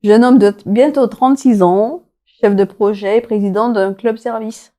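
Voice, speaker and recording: A woman's voice: on the high side, moderate pace, warm tone. A woman hosting a television interview, asking her guest questions in a conversational way. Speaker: interview show host.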